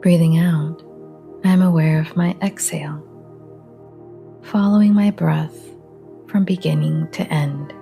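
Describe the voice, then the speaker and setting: A woman guiding a relaxation meditation in a soft, half-whispered, breathy voice, calm and gentle, with long pauses. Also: deep voice, faint music behind. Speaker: meditation guide.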